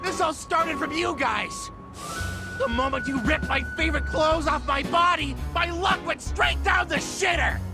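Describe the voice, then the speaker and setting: Shrill, gravelly, indignant hothead man. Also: a little slow. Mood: furious, indignant. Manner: whiny, indignant, foul-mouthed ranting. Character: hot-tempered, aggrieved fired-producer man working as a waiter. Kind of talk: dramatic video game voice acting. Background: music.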